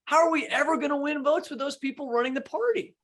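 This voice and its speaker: A man's voice: very high voice, fast, fairly bright tone. A man co-hosting a podcast, speaking casually about politics in an emphatic, blunt way. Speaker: podcast co-host.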